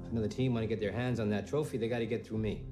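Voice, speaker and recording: A man's voice: lowish voice, fairly even intonation, racing, over music, natural voice. An actor playing an egotistical male star racing driver, cocky and self-centred. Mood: smug.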